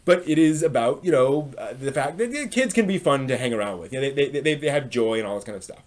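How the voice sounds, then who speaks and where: A man hosting a live stream, giving unscripted, casual review commentary in a halting, explanatory way with frequent pauses and rephrasing. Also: mid-range voice, lively intonation, darkish tone.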